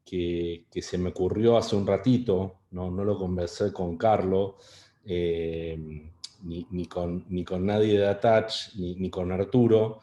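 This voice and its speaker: A man's voice: low-pitched, fairly even intonation, slow pace, dark tone, roomy sound, lo-fi sound. A middle-aged man with an Argentine Spanish accent giving an online webinar talk, hesitant, with many fillers and restarts. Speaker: webinar speaker. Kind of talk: online presentation.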